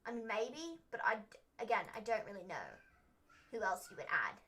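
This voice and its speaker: A woman's voice: mid-range voice, big pitch swings, normal speed, sharp tone. A young woman hosting a wrestling recap livestream, chatting casually, rambling and opinionated with lots of fillers.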